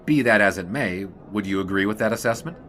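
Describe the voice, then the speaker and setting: A man's voice: lowish voice, a bit bright, slight background noise, lightly acted. A man playing a polite but persistent 1920s newspaper reporter in a period drama, asking careful questions. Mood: polite, probing. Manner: measured, careful, probing questions. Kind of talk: period radio drama acting.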